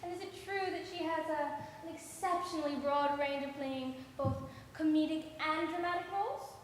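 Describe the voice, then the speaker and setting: A very echoey hall carries the voice of a glamorous, theatrical bride played by a woman, extremely high and coy. She puts on a fake French accent, halting and melodramatic, in stage-comedy style, with faint noise behind. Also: lightly acted. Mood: probing, flirtatious. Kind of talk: stage musical comedy acting.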